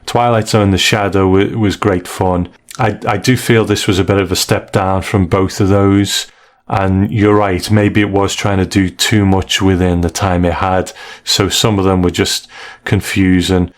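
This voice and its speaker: Low-voiced man hosting a podcast. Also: little pitch movement, unhurried. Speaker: podcast host. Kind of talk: conversational podcast review.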